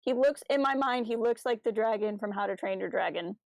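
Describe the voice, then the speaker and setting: A woman's voice: fairly high pitch, fairly quick, creaky, a little mellow, no room sound, poor audio. A woman co-hosting a podcast, talking through ideas in a casual, discursive way. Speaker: podcast co-host.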